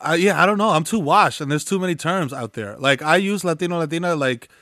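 Bronx man, New York accent, Spanish first language, chatty interview guest, a bit high voice, dry close sound. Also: fairly quick, dry recording. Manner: animated, laughing, slangy, many fillers. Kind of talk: casual conversational interview.

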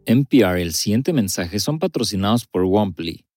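A man reading a sponsor message in a crisp promotional manner. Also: lowish voice, expressive, normal speed, music in the background. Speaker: sponsor announcer. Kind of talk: read advertisement.

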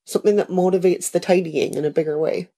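A woman's voice: lowish voice, fairly even intonation, fast talker, mellow. A woman in an informal conversation with her husband, earnest and coaxing. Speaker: wife recording a talk with her husband.

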